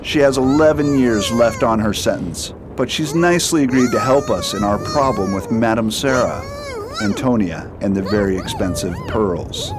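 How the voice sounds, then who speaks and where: A man playing a shrewd, secretive detective in a radio drama, brisk and authoritative. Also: on the low side, huge pitch swings, noisy background. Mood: commanding. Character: shrewd, confident male detective, secretive and commanding. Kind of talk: amateur radio drama acting.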